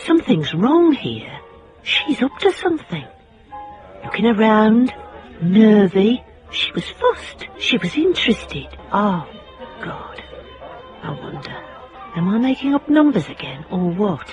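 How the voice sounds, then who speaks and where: An actress playing a sharp-tongued, quietly bitter British single woman in a radio drama, in dialogue and inner narration. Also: medium pitch, big pitch swings, slow pace, lo-fi sound, soft background music. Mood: wry, brooding.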